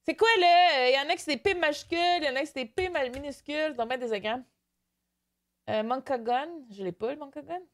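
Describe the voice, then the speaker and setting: A woman's voice: high-pitched, fast. A young woman from Canada with a Québécois accent, chatting casually on a live stream while she reads her chat aloud and mixes in English. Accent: Québécois French. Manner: animated, switches to excited squeals, mixes in English.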